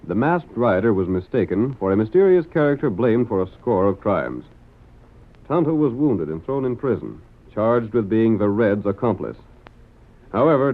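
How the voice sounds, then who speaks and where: A man announcing and narrating a radio drama in formal, scripted 1940s style. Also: lowish voice. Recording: tinny narrow-band old radio recording.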